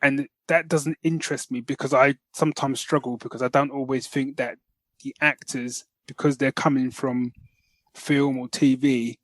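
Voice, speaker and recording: A British man co-hosting a podcast, reviewing enthusiastically in casual, slangy, animated talk. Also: mid-range voice, moderate pace, no room sound. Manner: animated, slangy, often addresses listener as man. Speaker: podcast host.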